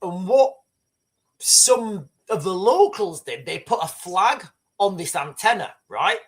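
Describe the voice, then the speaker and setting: A man's voice: high voice, expressive, takes it slowly. Middle-aged male livestream host, loose rambling storyteller who swears and restarts sentences. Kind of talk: casual live commentary and storytelling.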